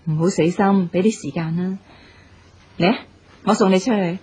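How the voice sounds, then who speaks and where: An actress playing a calm hospital doctor, explaining things in a measured, reassuring way in a radio drama. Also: female voice, lowish voice, a little hiss or hum, close to an everyday voice. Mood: consoling. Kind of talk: Cantonese radio drama acting. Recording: dull radio drama recording, music behind.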